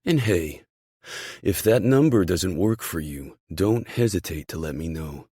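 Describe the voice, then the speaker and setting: A man performs a hearty male boss from an audiobook novel. He is emphatic, fatherly and encouraging, with a low, husky voice in a dry, close recording. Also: lively intonation, a little slow, mellow, no room sound, exaggerated voice. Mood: encouraging, firm.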